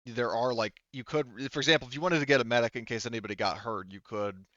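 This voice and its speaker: A man's voice: medium pitch, fairly even intonation, fast talker, sharp tone, dry recording, lo-fi sound. A man running a tabletop roleplaying game as game master, explaining and narrating in a hesitant, rambling way.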